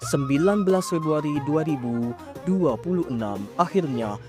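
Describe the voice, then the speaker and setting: A man presents a television special report, formal with the odd casual aside. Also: on the high side. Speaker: news presenter. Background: music.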